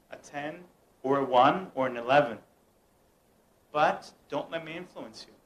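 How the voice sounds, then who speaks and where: A man's voice: fairly high pitch, poor audio. A young man from the United States performing a playful mind-reading act, teasing and directing the people around him. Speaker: mentalist performer. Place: room at a home gathering.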